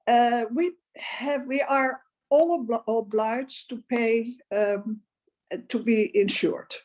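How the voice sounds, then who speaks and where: A woman's voice: a little high, slow pace, a little mellow. A woman from the Netherlands, a non-native English speaker with a Dutch accent, speaks as a panelist, searching for words with many fillers. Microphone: video call.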